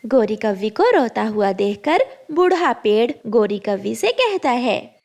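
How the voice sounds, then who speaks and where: Female storyteller for kids' cartoon tales, scripted narration, swooping intonation, unhurried pace. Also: fairly high pitch, big pitch swings, a little mellow.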